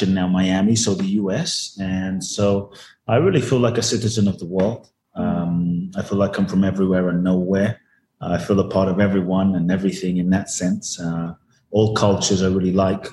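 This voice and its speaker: Earnest low-voiced man, echoey. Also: a little mellow, a little hiss or hum. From Ghana. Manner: earnest, repeats words for emphasis. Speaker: guest, spiritual teacher. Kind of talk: reflective interview storytelling. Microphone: video call.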